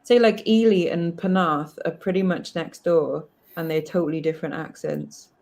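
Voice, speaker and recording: A woman with a Cardiff Welsh accent, chatting casually as a guest in a lively, joking way. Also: sits low, normal speed, vocal fry. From Wales. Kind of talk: casual chat.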